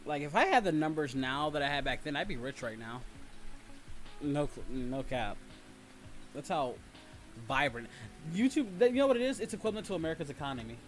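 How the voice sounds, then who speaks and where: A man's voice: a little high, animated, fairly quick, pauses often. A man hosting a casual livestream, rambling and teasing his viewers in slangy talk. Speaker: livestream host and content creator. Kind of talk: casual livestream chat, reading and answering viewers. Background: music, rain ambience.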